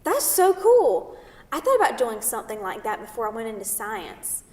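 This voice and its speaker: A brash city woman, acted by a woman in a staged play reading, needles and teases with pushy, playful sarcasm. Her voice sits on the high side and comes from far off in a very echoey hall. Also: sing-song, moderate pace, a little mellow. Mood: curious, playful. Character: brash, teasing New York City woman, a science scholar. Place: theatre, recorded from a distance. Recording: distant mic in echoey hall.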